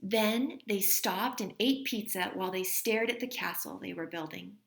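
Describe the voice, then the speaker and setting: A woman reading a children's storybook aloud in an expressive manner, like a teacher. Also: medium pitch, moderate pace, gravelly, roomy sound, slight background noise.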